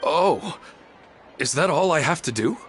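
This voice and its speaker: A man playing a gentle, wistful, humble villager in a video game, speaking in a polite and hesitant way. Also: on the high side, expressive, measured pace, over loud music. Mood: grateful.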